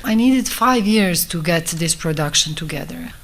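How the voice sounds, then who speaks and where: Low-voiced Bosnian woman, reflective. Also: animated. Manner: reflective, halting phrasing in non-native English. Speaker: film director giving a talk. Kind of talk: informal public talk, conversational.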